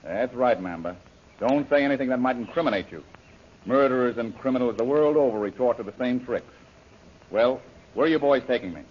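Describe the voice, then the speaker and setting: A man's voice: medium pitch, fairly quick, close to an everyday voice. Brave, wry adventurer hero male actor, mid-range voice, forthright, vintage radio serial with dull sound. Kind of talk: old-time radio adventure serial acting. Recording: muffled, noisy old radio recording.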